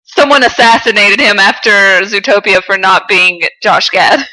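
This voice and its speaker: A woman's voice: medium pitch, moderate pace, sharp tone, poor audio. A woman chatting casually and informally as a podcast co-host. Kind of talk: casual chat about an animated film.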